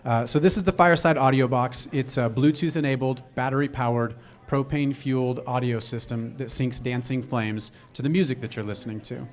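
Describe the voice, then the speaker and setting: A man giving a business pitch on stage through a microphone, halting and repeating words as he addresses a live audience. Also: steady tone. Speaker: entrepreneur pitching a product.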